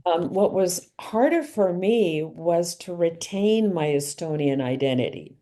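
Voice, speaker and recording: A middle-aged woman answers interview questions slowly and thoughtfully, often pausing on an um or restarting a phrase. Her voice is fairly low and mellow, never hurried. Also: expressive. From Canada. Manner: thoughtful, measured, frequent restarts and ums. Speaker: author and guest.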